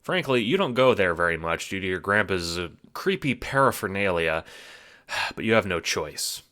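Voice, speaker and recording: A man with a low, bright, punchy voice narrates a scripted audio drama. He speaks to the listener as "you", wry and sardonic, with a theatrical touch. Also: very animated, moderate pace.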